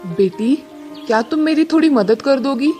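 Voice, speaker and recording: Woman voicing a gentle, high-pitched talking cow. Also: animated, normal speed, soft background music. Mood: pleading. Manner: kindly, motherly, pleading. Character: gentle, grateful talking cow in a fairy tale.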